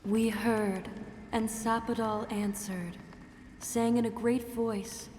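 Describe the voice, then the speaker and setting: Solemn ancient female spirit, fantasy game; slow, formal delivery, cavernous reverb over music. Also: on the high side, steady tone, darkish tone, a little hiss or hum. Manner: formal, measured, ceremonial. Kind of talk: fantasy video game acting.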